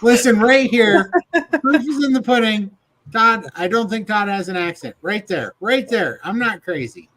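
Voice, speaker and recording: A man's voice: high voice, animated, moderate pace, fairly bright tone. A man hosting a live video chat show, talking casually with informal, jokey banter. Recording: clear background noise.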